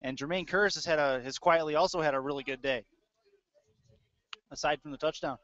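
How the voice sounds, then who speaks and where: Higher-pitched man calling football, dull audio. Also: a little fast, a bit bright, dry recording. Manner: clipped running description of plays. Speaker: play-by-play sports announcer. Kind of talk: live American football commentary.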